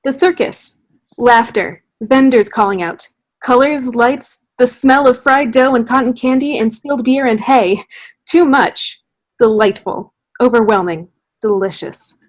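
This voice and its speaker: Woman narrator, fairly high voice, scripted stage directions, theatrical reading. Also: measured pace. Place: indoors on a video call.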